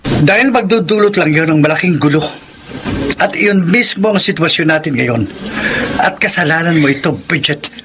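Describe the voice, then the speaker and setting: A man's voice: fairly high pitch, lively intonation, moderate pace. An actor playing a conflicted, guilt-ridden married man in a Tagalog radio drama, speaking dramatically. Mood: accusing. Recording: clearly noisy background.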